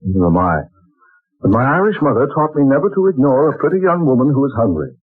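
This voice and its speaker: A man's voice: medium pitch, very animated, quick pace. An actor playing a generous, wisecracking young man with folksy slang in a 1940s radio drama, on a tinny old broadcast recording. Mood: easygoing. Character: breezy, kindly young man, broke but generous.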